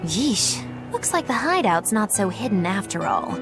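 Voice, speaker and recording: A woman's voice: mid-range voice, sing-song, measured pace, music in the background. An actress voicing a playful, theatrical young witch in game dialogue, teasing and sly in her delivery. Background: game score.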